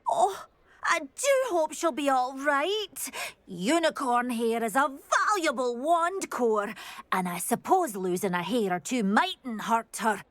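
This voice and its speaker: An anxious, soft-hearted woman in a video game, fretting over a creature she adores. Her voice is high and swoops expressively, with an unhurried pace. She is earnest and effusive. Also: animated, dry recording. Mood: fretful, tender. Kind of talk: video game character dialogue.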